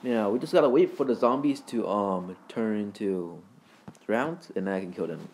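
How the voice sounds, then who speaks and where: Guy with a lowish voice doing laid out gameplay commentary, rambly and halting, super informal. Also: darkish tone, slight background noise. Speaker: gaming video creator and host.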